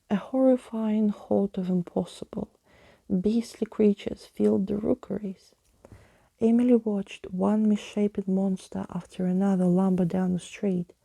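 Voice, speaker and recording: A woman reading a storybook aloud in a soft ASMR style, with a breathy voice that often slips into whispering. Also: mid-range voice.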